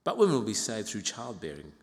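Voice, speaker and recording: A man in his fifties from Uganda preaches in a large church with long, ringing reverb. His voice sits on the low side, and he explains scripture in a measured, reflective manner, pausing and slipping in gentle asides. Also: wildly swooping pitch. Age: 50s. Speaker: pastor preaching to own congregation. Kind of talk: expository sermon. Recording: reverberant hall.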